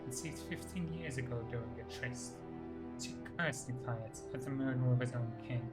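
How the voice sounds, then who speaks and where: A man's voice: mid-range voice, poor audio. A man reading fantasy game lore and dialogue aloud as scripted narration. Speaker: game player narrating codex entries and dialogue choices.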